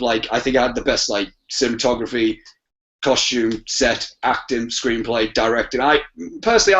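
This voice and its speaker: A man chatting casually about films as a podcast guest, rambling and often rephrasing himself. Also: mid-range voice. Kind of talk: casual film discussion. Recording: dull lo-fi audio.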